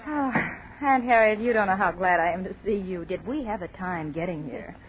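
An actress playing a timid, uneasy college student in a 1940s radio drama, with a nervous, halting delivery. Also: female voice, medium pitch, phone-quality sound, lightly acted. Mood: relieved, eager. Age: young adult. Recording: scratchy, thin 1940s radio recording.

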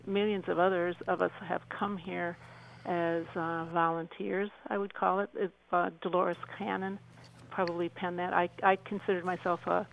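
Female interview guest: gravelly fry, restrained intonation, earnest and reflective, halting conversational phrasing. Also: fairly low pitch, level tone, a little hiss or hum, poor audio. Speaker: radio show guest.

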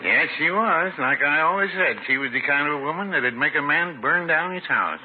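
A man's voice: on the high side, animated, normal speed, natural voice. An actor playing a mild, dry, elderly small-town American man, a prim and folksy confidant in a 1950s radio comedy. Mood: dry, interested. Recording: tinny, very noisy old radio recording.

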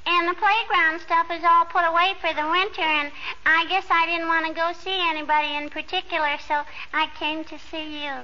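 A woman's voice: very high voice, steady tone, a little fast, pushed and bright. A woman playing a pert, inquisitive, literal-minded little neighbor girl in an old radio comedy. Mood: cheeky, earnest. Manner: cheeky, literal-minded, repeats a pet phrase. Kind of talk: 1940s radio comedy acting. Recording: muffled old radio recording, faint noise.

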